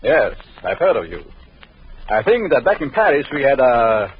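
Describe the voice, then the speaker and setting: An actor playing a sinister, jealous doctor in a 1940s radio drama, smooth and coldly ironic. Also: male voice, mid-range voice, big pitch swings, moderate pace. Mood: suave. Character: sinister jealous male doctor, suave and menacing. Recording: dull lo-fi old radio recording with faint hiss.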